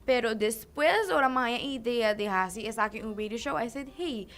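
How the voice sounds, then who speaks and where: A teenage girl from Aruba, talking on a radio interview with eager energy. Her voice sits a little high, and she slips between languages mid-sentence while she explains her show. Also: expressive, moderate pace, a bit bright. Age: teens. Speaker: youth radio show host, guest.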